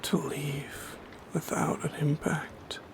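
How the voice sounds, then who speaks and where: Male actor as brooding shadow creature, breathy whisper, very slow halting delivery, lonely and tender, solemn ASMR roleplay. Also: a little high, little pitch movement. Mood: sorrowful, haunted. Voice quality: breathy whisper with creaky edges.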